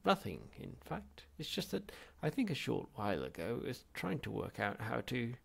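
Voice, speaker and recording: A man's voice: medium pitch, very animated, moderate pace, gravelly, slightly rough, heavily acted. A man voicing a nervous, apologetic everyman in a science fiction audiobook, hesitant and bumbling. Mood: nervous, sheepish. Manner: hesitant, faltering.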